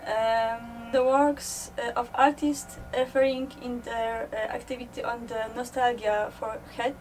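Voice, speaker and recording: A young woman with a Polish accent and a fairly high voice answers interview questions slowly. She halts and searches for her English words. The microphone sits slightly away from her. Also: steady tone, darkish tone, faint music behind. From Poland. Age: young adult. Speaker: artist and gallery co-runner being interviewed. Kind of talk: casual interview. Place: inside a small old caravan. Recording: slightly distant mic, faint ambient noise.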